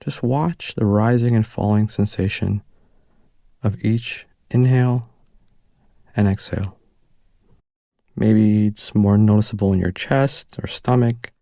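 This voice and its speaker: A man leading a guided meditation, giving calm, gentle instructions with unhurried pauses. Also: on the low side, creaky, dry recording, clean studio sound.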